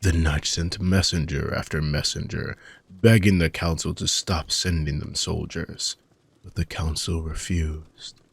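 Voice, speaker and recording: A man playing an ancient, weary dark mage villain with a gravelly, husky voice, speaking in soft, deliberate, formal phrases as an intimate fantasy audio roleplay monologue. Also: a bass voice, fairly even intonation, no echo, heavily acted. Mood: solemn, brooding. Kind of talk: slow, intimate dramatic monologue for sleep-aid audio roleplay.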